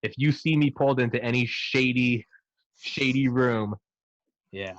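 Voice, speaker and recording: A man's voice: medium pitch, measured pace, dry recording, muffled recording. A man in his thirties chatting casually, rambling and swearing while he jokes. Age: 30s. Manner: profane, rambling, joking. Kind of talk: casual podcast banter.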